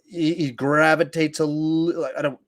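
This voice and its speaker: A man's voice: fairly high pitch, flat delivery, measured pace. Man hosting a casual film podcast. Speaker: podcast host. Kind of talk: casual live film discussion, reading viewer questions.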